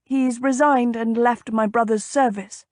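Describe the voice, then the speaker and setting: A spirited young noblewoman, voiced by a woman reader in a historical audiobook. She speaks in formal period phrases with tart, emphatic expression, pitched a little high. The recording is dry and close. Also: fairly even intonation, unhurried, darkish tone, no echo, close to an everyday voice. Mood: defensive, suspicious. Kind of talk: audiobook character dialogue.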